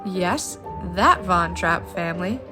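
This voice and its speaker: A woman's voice: lowish voice, lively intonation, takes it slowly. A woman hosting a travel vlog, narrating in an upbeat, playful and theatrical way. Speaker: travel show host. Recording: music behind the voice. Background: background music.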